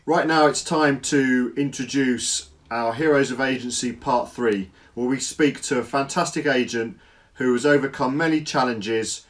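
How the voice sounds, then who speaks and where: A man hosting a company interview series, introducing it and chatting with a guest in a loose, friendly way full of ums. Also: mid-range voice. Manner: friendly, loose, lots of ums and trailing phrases. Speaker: host from the company. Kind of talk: interview host, casual business chat.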